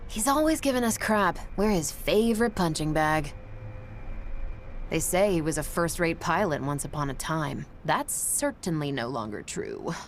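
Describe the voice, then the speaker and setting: An actress playing a brash, loyal ace test pilot in a video game, speaking in a casual, confident, plain-spoken way. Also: female voice, low voice, animated, normal speed, a little hiss or hum. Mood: bitter. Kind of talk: dramatic video game cutscene acting.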